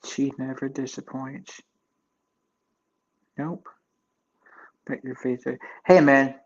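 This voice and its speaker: Flat-voiced young man, rambling stream chat. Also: mid-range voice, slow, lo-fi sound. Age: 20s. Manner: rambling, filler-heavy, loose restarts. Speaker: livestream host chatting with viewers. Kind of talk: casual live chat.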